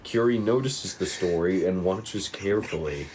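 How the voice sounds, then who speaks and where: Guy reading script directions aloud, deeper voice, bit of background noise. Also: moderate pace, darkish tone. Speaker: narrator of script table read. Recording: noisy room recording.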